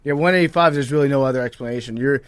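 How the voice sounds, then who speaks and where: A man's voice: mid-range voice, rapid-fire, crowd noise. A man co-hosting a podcast in casual comedic chat, often repeating phrases as he goes. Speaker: podcast co-host.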